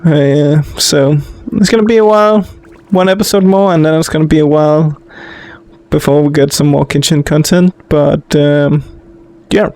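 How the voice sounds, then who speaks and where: A man's voice: fairly high pitch, steady tone, normal speed, a little mellow, no room sound. A man hosting a gaming video with casual, off-the-cuff let's play commentary.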